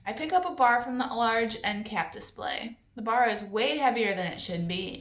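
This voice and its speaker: A woman reading a children's novel aloud in an expressive storytelling style. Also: a little high, reverberant.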